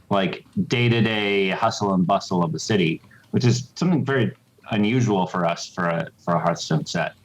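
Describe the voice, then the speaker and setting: A man with a low voice explains design choices in an interview. He is measured, pausing between phrases, and the audio is slightly dull and lo-fi. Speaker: game designer being interviewed.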